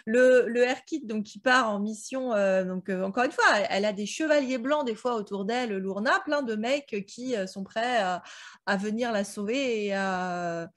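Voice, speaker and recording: A woman's voice: mid-range voice, moderate pace. A woman chatting casually and jokily as a co-host of a book club discussion, using plenty of slang. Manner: slangy, jokey, acts out quotes. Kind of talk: casual discussion of an audio drama.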